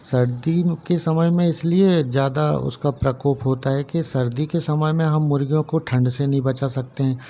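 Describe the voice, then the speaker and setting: Mellow-voiced man explaining poultry farming. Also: fairly high pitch, steady tone, normal speed, hardly any pauses, a little hiss or hum. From central India. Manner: earnest, explanatory, lists items one by one. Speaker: poultry farmer and channel host. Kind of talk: casual instructional talk to camera.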